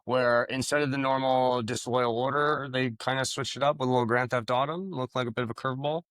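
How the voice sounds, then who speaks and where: A man's voice: mid-range voice, fairly even intonation, brisk, fairly bright tone, no echo. A man co-hosting a podcast, joking and teasing his co-host in casual chat. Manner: teasing, crude joking banter.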